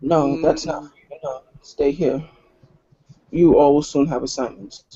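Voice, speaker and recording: Ill-tempered female pack leader, played by a man, prying and bossy, relaxed tone, lo-fi tabletop session. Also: fairly high pitch, measured pace, slightly rough. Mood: curt, commanding. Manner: curt, imperious, prying.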